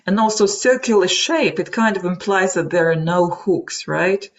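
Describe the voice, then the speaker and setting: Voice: medium pitch, normal speed. A native Russian speaker with a Russian accent, presenting an explanatory webinar lecture in informal, hesitant phrasing with frequent asides. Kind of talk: explanatory lecture to an online audience. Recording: dull lo-fi webinar audio.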